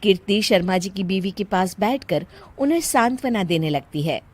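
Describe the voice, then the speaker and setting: A woman's voice: medium pitch, normal speed, no room sound. A woman narrating a scripted moral story as its storyteller.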